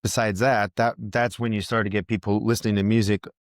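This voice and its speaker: A man's voice: lowish voice, little pitch movement, brisk, dry recording, studio quality. An American man hosting a casual podcast conversation, rambling with many restarts and self-corrections. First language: English. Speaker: podcast host.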